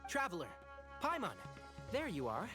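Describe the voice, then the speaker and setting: A man voicing a courteous, considerate, calm young man in a video game, polite and gentle. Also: high-pitched, moderate pace, music in the background. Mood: concerned.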